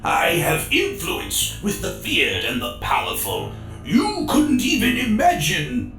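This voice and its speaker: A man's voice: sits high, wildly swooping pitch, slow pace, gravelly, echoey room, recorded from a distance, music in the background, voice pushed up, heavily acted. A man voicing a frightened, pleading artisan in an audiobook, breathless and stammering. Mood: indignant, threatening. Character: terrified, pleading male artisan, threatened at blade-point. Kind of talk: dramatic audiobook character voice.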